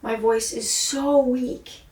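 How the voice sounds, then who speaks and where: A woman's voice: a little high, fairly even intonation, slow, a little mellow. Tired woman, echoey and distant. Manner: introspective and emphatic, swears often, sighs. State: tired, sore-throated voice. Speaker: vlogger and singer. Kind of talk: rambling video diary monologue. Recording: echoey room, far from mic.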